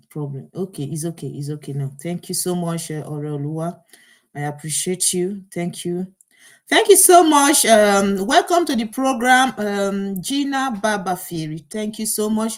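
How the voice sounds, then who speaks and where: A woman hosting a live online program through an earpiece mic. She talks her way through the technical trouble, with lots of fillers and restarts. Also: lowish voice. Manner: flustered, troubleshooting aloud, many fillers and restarts. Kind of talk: live online talk show.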